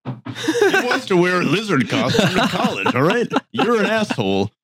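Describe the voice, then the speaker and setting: A man voicing a mocking parody of a whiny progressive parent in a high, sneering tone. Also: wildly swooping pitch, normal speed, fairly bright tone, slightly rough, dry recording, full character voice.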